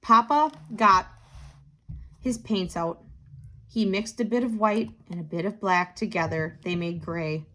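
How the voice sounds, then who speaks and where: A woman narrating a picture book for children, expressive and deliberate, lingering on key words. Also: mid-range voice, slight background noise. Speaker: tutor reading a children's book aloud.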